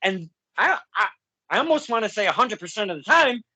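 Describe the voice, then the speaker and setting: A man's voice: sits high, big pitch swings, fairly quick, pushed and bright, husky, no echo. A man hosting a podcast, asking questions in a casual, chatty way with frequent laughs and asides to listeners. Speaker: podcast host. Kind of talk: casual interview.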